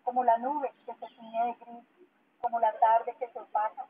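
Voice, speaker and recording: A woman's voice: on the high side, little pitch movement, moderate pace, breathy edge. A woman reading poetry aloud through a megaphone. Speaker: poet reading at a public poetry reading. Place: outdoor public park. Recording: tinny narrow-band megaphone, faint outdoor noise.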